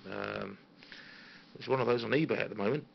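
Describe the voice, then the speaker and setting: A British man giving a casual, halting, understated tour of his hobby equipment, as an enthusiast showing viewers around. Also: mid-range voice, huge pitch swings, vocal fry. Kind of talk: casual informal show-and-tell. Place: small radio room at home. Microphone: handheld phone. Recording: dull phone recording with faint noise.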